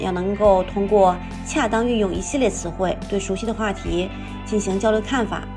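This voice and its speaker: A woman teacher calmly explaining exam scoring in a scripted voice-over lesson, with music behind her. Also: mid-range voice.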